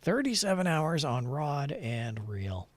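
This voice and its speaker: A man's voice: fairly high pitch, sing-song, takes it slowly. A man streaming a game, switching between playful chatter and theatrical story read-aloud.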